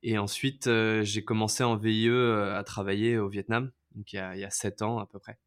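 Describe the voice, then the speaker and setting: A man's voice: on the low side, level tone, a little fast, clean tone. A man in his thirties from France, a podcast guest telling his life story in a casual, hesitant way with many fillers and restarts. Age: 30s.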